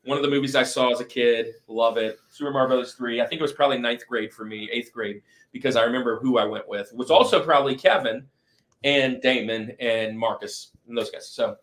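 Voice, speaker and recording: A man's voice: mid-range voice, steady tone, brisk, fairly bright tone. Enthusiastic, informal male podcast host. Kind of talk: casual chat.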